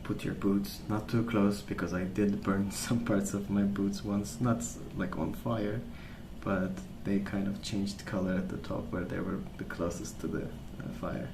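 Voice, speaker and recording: A man speaking softly as an ASMR host, rambling through stories in a hesitant, pausing, unhurried way. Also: on the low side, little pitch movement, vocal fry, background noise. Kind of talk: soft-spoken ASMR rambling.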